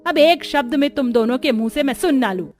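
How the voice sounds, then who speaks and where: A woman voicing a bossy, demanding older mother-in-law in an animated family story, with a commanding, scolding manner. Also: sits high, brisk, slight background noise, natural voice. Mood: indignant scolding. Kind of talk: Hindi cartoon story acting.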